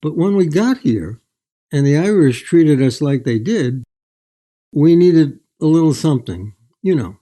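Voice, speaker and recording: An older man telling stories as an author in an interview, in a measured, anecdotal way. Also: on the high side, expressive, mellow. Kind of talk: storytelling in an interview.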